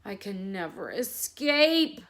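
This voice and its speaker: A woman voicing a big, proud male lion in a bedtime story, with drawn-out, declamatory delivery. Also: mid-range voice, very animated, a bit bright. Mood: despairing.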